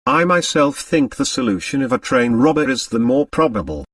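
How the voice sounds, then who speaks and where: Male narrator as police commissary, French character, impatient, methodical, official, very animated intonation, audiobook. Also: medium pitch, normal speed, creaky, no room sound, studio quality, distant mic, full character voice. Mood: methodical, speculating.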